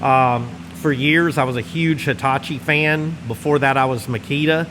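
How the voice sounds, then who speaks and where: A middle-aged man with a Northwest Louisiana Southern drawl, the channel host, chatting casually and meandering to the camera while he smokes in his garage workshop. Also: medium pitch. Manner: easygoing, meandering, folksy drawl, pauses while smoking a cigar. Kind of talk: casual rambling chat to camera. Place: large insulated home garage workshop, indoors. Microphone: camera close in front, seated. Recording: steady heater hum behind voice. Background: heater running.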